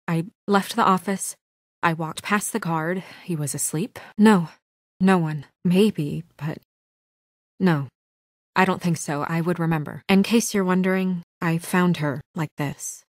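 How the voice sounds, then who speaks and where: A woman playing a composed but anxious, dutiful young office administrator in a naturalistic video game drama, speaking in a measured, guarded, earnest way. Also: on the low side, dry recording, studio quality. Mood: shaken, grave, then guarded, serious.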